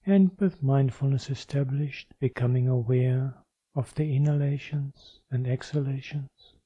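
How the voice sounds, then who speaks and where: Male meditation guide, deeply mellow and relaxed tone, deliberate spaced phrasing, dry recording. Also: slightly rough, no room sound, highs cut off. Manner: measured, deliberate phrasing with pauses. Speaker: meditation teacher.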